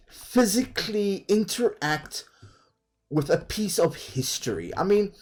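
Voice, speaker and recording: A man's voice: a little high, a little mellow. A man from South Africa with a South African accent, chatting in a rambling way while streaming a game and laughing easily. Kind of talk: casual chat while playing a game.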